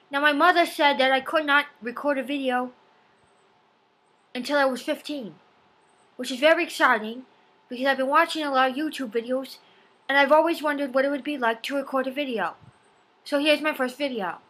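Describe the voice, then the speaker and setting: Woman playing an earnest, bright-voiced teenage boy vlogger. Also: sits high, low-quality recording. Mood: earnest, eager. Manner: earnest, deliberate, preachy. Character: earnest fifteen-year-old nerdy boy video blogger. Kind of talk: amateur self-help vlog monologue. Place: small home room. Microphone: laptop webcam at arm's length.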